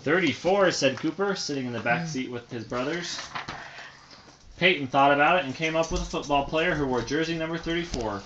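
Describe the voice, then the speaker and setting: A man's voice: fairly high pitch, fairly quick, muffled recording. A father reading a picture book aloud to a small child at home, stopping to answer as the child points at the pictures. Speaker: father reading a bedtime story. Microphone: phone. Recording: noisy home phone recording.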